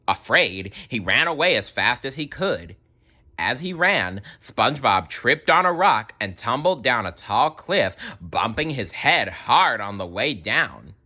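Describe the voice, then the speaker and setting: A man's voice: mid-range voice, sing-song, measured pace, pushed and bright. A man reading a children's story aloud expressively, adding wry asides as storyteller.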